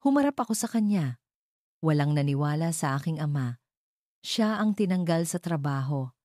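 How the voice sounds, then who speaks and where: Low-key woman narrating, dark dry voice. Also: expressive, normal speed, dry recording. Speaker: story narrator. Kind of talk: scripted dramatic first-person story narration.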